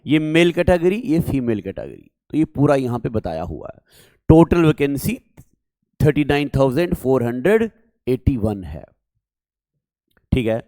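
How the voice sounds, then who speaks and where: A male coaching teacher with a sore throat, speaking slowly and deliberately as he walks students through exam details in Hindi. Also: medium pitch, big pitch swings, mellow, no room sound. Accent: North Indian Hindi. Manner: slow, deliberate, addresses listeners as dear children. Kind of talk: exam information lesson to students.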